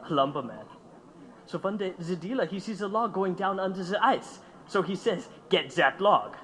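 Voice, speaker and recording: A man's voice: high voice, fairly bright tone. A man playing a young immigrant anarchist in an audio drama, taunting and intense, speaking simple broken English. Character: young fiery German immigrant anarchist bomb-maker, reckless and bitter.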